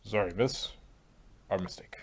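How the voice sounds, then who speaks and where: A man's voice: lowish voice, unhurried. A man playing a polite, apologetic royal guard in an amateur fan fiction reading. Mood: cautious, apologetic.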